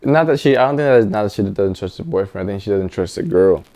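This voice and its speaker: A man's voice: fairly low pitch, animated, fast talker, mellow. A man co-hosting a casual advice podcast, speaking in an informal, slangy way. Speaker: podcast co-host.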